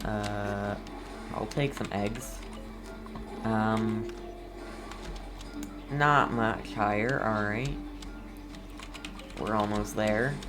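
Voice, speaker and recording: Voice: medium pitch, very slow, long pauses, music in the background. A game streamer giving casual, rambling commentary, talking to themself and to viewers. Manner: rambling, talks to self and viewers. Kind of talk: casual chatty gameplay commentary.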